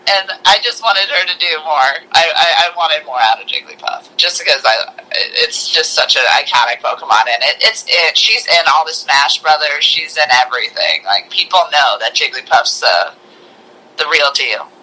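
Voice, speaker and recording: A woman co-hosting a casual chat, talking like an excitable, informal fan. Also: sits low, normal speed, poor audio. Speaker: podcast co-host.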